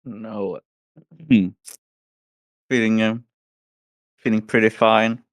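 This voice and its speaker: Hoarse, very deep-voiced man. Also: little pitch movement, takes it slowly, no echo, low-quality recording. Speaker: guest. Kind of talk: casual chat.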